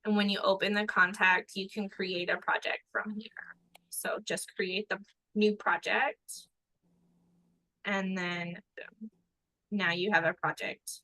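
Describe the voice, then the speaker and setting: Upbeat woman teaching on a video call, bright voice, dry close sound. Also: medium pitch, flat delivery, slow, pauses often, dry recording, low-quality recording. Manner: upbeat, encouraging, asks for understanding. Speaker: online trainer. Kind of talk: live workshop tutorial.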